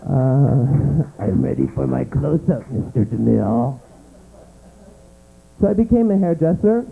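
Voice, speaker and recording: A man doing a stand-up comedy set in a small club, with a campy, dramatic delivery that drops into a low voice for effect. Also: expressive, unhurried, gravelly. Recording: tinny lo-fi live recording with mains hum.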